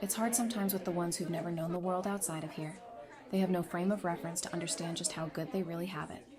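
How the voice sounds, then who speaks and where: A woman's voice: on the low side, steady tone, fast talker, a crowd in the background, natural voice. A woman playing a friendly, easygoing survivor in an audio drama, chatty, informal and reassuring. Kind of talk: fan audio drama acting, casual dialogue.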